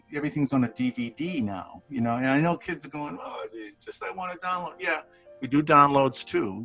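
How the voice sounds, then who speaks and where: A gravelly-voiced man in his sixties talks loosely over a video call, circling back on his words and chuckling. His pitch sits in an ordinary middle range, and faint music plays behind him. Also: a bit bright, rough recording. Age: 60s. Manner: rambling, many restarts, chuckles often. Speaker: studio manager and bass player. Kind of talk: casual conversation on a video call.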